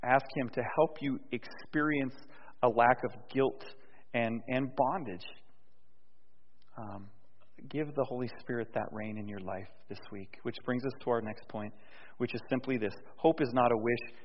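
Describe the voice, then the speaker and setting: A man preaching a sermon in a conversational way, dropping soft and low and then rising loud and emphatic. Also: steady tone, normal speed. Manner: conversational, with restarts and fillers. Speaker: pastor. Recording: dull sound with long hall reverb.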